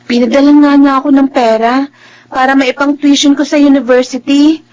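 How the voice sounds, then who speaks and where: An actress playing an earnest, dutiful young college student in a Filipino radio drama, emphatic and with a Filipino accent. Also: female voice, sits high, fairly even intonation, fairly quick, a little mellow, muffled recording, a little hiss or hum, lightly acted. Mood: earnest, reassuring. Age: young adult. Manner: polite and respectful to elders, emphatic.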